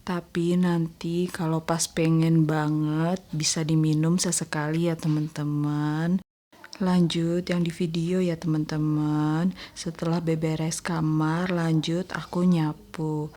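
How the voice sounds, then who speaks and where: A woman with a congested, nasal voice, gently narrating a casual voice-over vlog about her day to her viewers. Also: low-pitched, little pitch movement, slow pace. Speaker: homemaker vlogger narrating own daily routine. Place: voice-over recorded separately at home.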